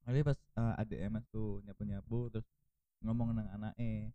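Dark-voiced young man from Java. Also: lowish voice, little pitch movement, moderate pace, lo-fi sound. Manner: joking, laughs often, slips between languages. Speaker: chat participant. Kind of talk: casual group chat.

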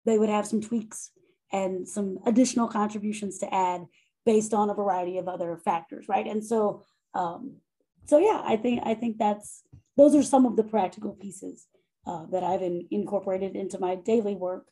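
Casting note: woman educator, loud but mellow voice, thoughtful interview talk with frequent restarts. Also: medium pitch, moderate pace, slightly rough. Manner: thoughtful, self-correcting, frequent "right?" and "um". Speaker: faculty member and monograph author. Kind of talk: conversational interview.

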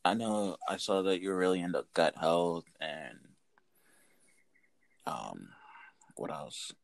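A man's voice: deep voice, sing-song, slow, gravelly, no echo. A man in his late twenties hosting a casual interview, asking friendly questions and agreeing often. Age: 20s. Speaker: interview host. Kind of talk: casual video chat interview.

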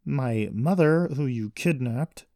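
A man's voice: mid-range voice, animated, takes it slowly, dark tone, dry recording, studio quality, voice pushed up. A man voicing a furious, frantic young woman in an audiobook story, accusing and stubborn. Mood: cold, accusing.

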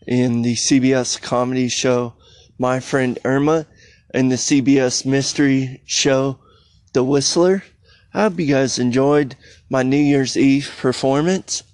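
Fast-talking man hosting a podcast. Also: medium pitch, a little mellow, no echo. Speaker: podcast host. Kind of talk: casual podcast sign-off.

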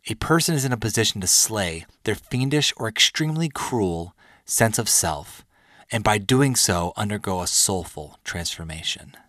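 Male podcast host, 30s. Lowish voice recorded dry, earnest and measured personal storytelling with deliberate pauses. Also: fairly bright tone, dry recording. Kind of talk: personal storytelling monologue, partly scripted.

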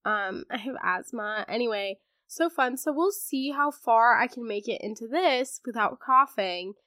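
A teenage girl talks through a podcast episode in a fairly high voice, stuffy and congested from a lingering throat illness. Her chat is loose and sarcastic, full of "um" and "like". The sound is close and dry, with no room around it. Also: lively intonation, a little slow, sharp tone, no room sound. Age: teens. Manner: chatty and sarcastic, many fillers. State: congested, recovering from laryngitis. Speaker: podcast host. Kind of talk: casual chat.

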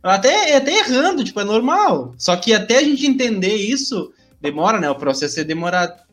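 A young man hosting an interview in an easygoing, casual way, often tagging his sentences with a quick question. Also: sits high, very animated, fairly bright tone, faint music behind. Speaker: host, interviewer.

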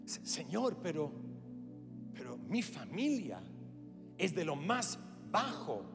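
A man's voice: on the high side, sing-song, slow, vocal fry, in a large hall, music in the background, pitched below the performer's own voice, exaggerated voice. A man with an Australian English accent voicing a timid young male farmer who stammers and belittles himself. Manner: hesitant, stammering.

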